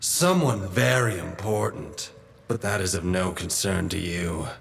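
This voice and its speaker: A man's voice: low-pitched, lively intonation, a little slow, a bit bright, slight background noise, exaggerated voice. A man playing a menacing vampire henchman in an audio drama, with a grating reptilian voice and a mock-courteous, businesslike manner. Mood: menacing, smug. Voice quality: grating, gravelly.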